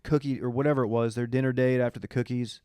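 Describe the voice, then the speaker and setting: A man's voice: mid-range voice, steady tone, quick pace, dark tone, smooth, no echo, studio quality. A young man co-hosting a podcast in casual, slangy, joking conversation. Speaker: podcast co-host. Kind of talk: casual banter, reality TV recap.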